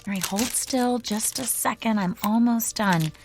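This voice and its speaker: A woman playing a kindly theater crew member in a radio drama, gently teasing. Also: on the high side, unhurried, music in the background. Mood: friendly.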